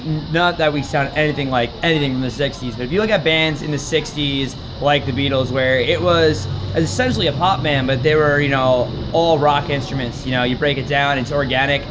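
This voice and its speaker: A man's voice: on the high side, steady tone, a little fast, few pauses. A young man, the band's lyricist, explaining his ideas at length in a casual interview. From New Jersey. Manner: thoughtful, explains at length with "you know". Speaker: band member, lyricist. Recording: muffled, with background noise.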